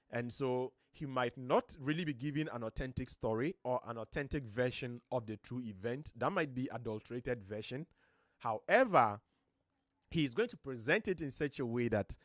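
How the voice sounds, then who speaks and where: A Ghanaian man with a deep voice, giving earnest, motivational talk as host of a live stream from his home studio, his voice a little strained. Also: dry recording. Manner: earnest, motivational, emphatic rises. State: voice slightly strained.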